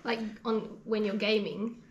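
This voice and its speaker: A woman's voice: mid-range voice, steady tone, normal speed, muffled recording. A woman whose first language is Japanese, interviewing in English with chatty, rambling follow-up questions full of "like". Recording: roomy echo, faint background ambience.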